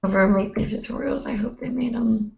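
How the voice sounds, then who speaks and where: A young woman hosting a hoop dance livestream, hoarse and chatty, rambling with many fillers. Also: fairly high pitch, fairly quick, a little mellow, slight background noise. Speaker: host. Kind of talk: casual livestream chat. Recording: roomy, dull lo-fi stream audio.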